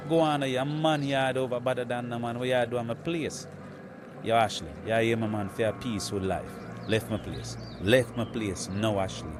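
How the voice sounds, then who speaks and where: An actor with a Jamaican accent playing a man caught between two women, talking in excitable patois in a comedy skit. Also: medium pitch, over music, close to an everyday voice. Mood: indignant. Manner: excitable, rapid indignant patois.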